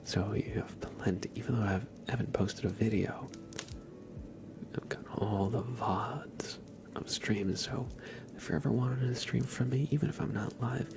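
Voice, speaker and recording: A man hosting an ASMR livestream, chatting casually and gently to viewers in a breathy whisper. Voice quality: whispered, breathy, with some soft creaky low voicing. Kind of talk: ASMR, soft casual chat to live viewers.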